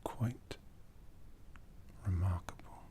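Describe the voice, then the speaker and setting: A man playing an aloof, commanding aristocratic vampire in an ASMR roleplay, half-whispering slowly and deliberately with a breathy, seductive delivery. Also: dark tone. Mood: intimate, menacing. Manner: slow, deliberate, measured.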